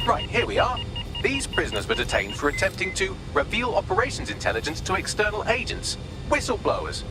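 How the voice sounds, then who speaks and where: An actor voicing a cheeky, sarcastic British artificial intelligence assistant, glib and quick to mock, in a video game. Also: male voice, high-pitched, wildly swooping pitch, background noise. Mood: smug briefing.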